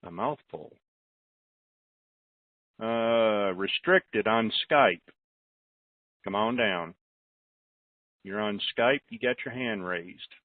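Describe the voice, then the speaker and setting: A man's voice: lowish voice, no room sound. A man hosting a call-in conference, speaking casually in brief, polite turns. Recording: dry narrow phone-like call audio.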